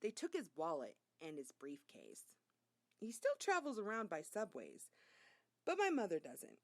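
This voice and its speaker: A woman reading a children's story aloud as a narrator, in an expressive storytelling way. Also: mid-range voice, unhurried, no room sound. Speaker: reader.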